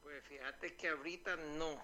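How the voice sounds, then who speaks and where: A man's voice: a little high, fairly even intonation, normal speed, crowd noise. A man, calling in by telephone to a local radio show, talking in a devout, nostalgic way with many fillers. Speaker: phone-in caller. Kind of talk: casual phone chat. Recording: noisy phone line.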